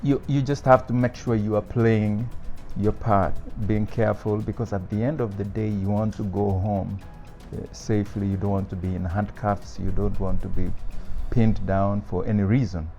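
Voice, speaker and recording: A man with a lowish, mellow voice speaks in a measured, hesitant way, pausing on fillers as he reflects like a father in an interview. The sound is dull and lo-fi. Faint music sits underneath. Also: fairly even intonation. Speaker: father interviewed about policing.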